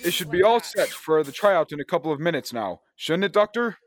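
A man's voice: fairly high pitch, lively intonation, a little fast, close to an everyday voice. A man acting an eager, impatient space adventurer in an old-time radio drama. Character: eager, impatient male space adventurer hero, brushing off fuss. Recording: clearly noisy background ambience.

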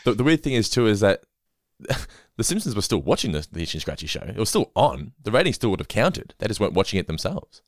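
A man hosting a casual podcast chat, talking quickly and laughing often. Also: lowish voice, sing-song, no room sound, clean studio sound. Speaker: podcast host.

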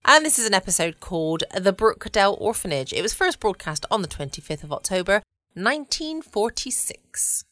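A woman with a southern English accent hosting a bedtime podcast, chatting gently and casually. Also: medium pitch, big pitch swings, normal speed. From United Kingdom. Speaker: podcast host. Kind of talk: relaxed bedtime podcast chat. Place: home, dry quiet room.

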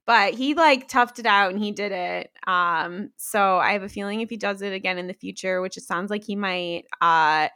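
A slightly hoarse woman co-hosting a casual podcast, rambling along in conversational chat. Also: mid-range voice, normal speed, few pauses, sharp tone. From Pennsylvania. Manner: rambling, many "like" fillers. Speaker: podcast co-host.

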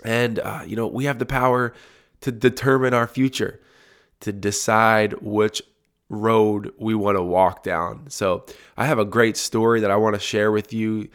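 A young man hosting a solo podcast while congested with a cold, his voice deep and resonant, talking in a relaxed, reflective way with frequent restarts. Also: unhurried. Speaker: podcast host. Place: home recording room.

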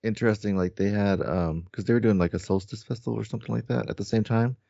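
A man's voice: on the low side, fast, vocal fry, dark tone, dry recording, lo-fi sound. A man co-hosting a casual chat, speaking hesitantly as he recalls details. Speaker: podcast co-host.

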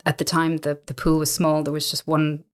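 An Irish woman in her thirties, a business owner chatting as an interview guest, relaxed and full of little fillers and restarts. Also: sits low, fairly quick, smooth. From Ireland. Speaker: guest, co-owner of a coworking space. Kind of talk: relaxed conversational storytelling.

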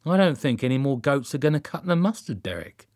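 A man's voice: mid-range voice, very animated, brisk, a little mellow, dry recording. A man voicing a matter-of-fact, scheming female cult leader in a comic audiobook, in a dry, polite and understated manner. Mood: dry, resigned.

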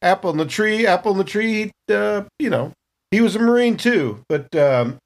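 A man's voice: high voice, expressive, normal speed, pitched above the performer's own voice. A man playing a cocky young Marine in a tabletop game, with brash, slangy talk. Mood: awkward, stumbling. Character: cocky young male space Marine called Wild Bill.

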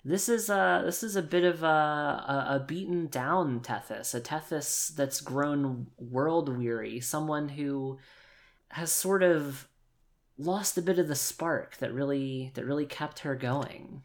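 A woman's voice: sits low, slow pace. A woman improvising narration for a tabletop roleplaying game, thinking aloud and often repeating her phrases as she finds the words. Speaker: player in an actual play tabletop game.

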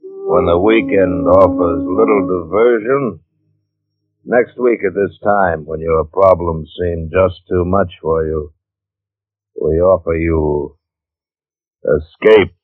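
A muffled old radio recording with light music behind features a male announcer. His very low voice is portentous and drawn out, in dramatic 1940s promo style. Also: takes it slowly, pauses often, dry recording.

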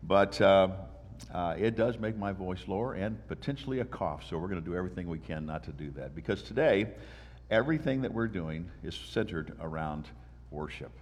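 A pastor with a congested voice from a sinus infection welcomes the congregation in church and leads them in prayer with earnest, steady delivery. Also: male voice, sits low, moderate pace. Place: church sanctuary. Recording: long church-hall reverb.

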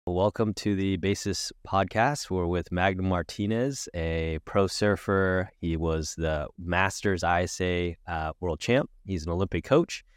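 A man's voice: deep voice, a little slow, few pauses, clear, resonant tone, dry recording, clean studio sound. A man hosting a podcast, talking in an easygoing way with many hesitations. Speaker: podcast host. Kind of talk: casual interview talk.

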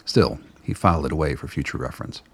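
A man narrating a radio play in a measured, descriptive storytelling manner. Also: rumbling low, animated, gravelly, slightly rough. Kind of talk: scripted narration.

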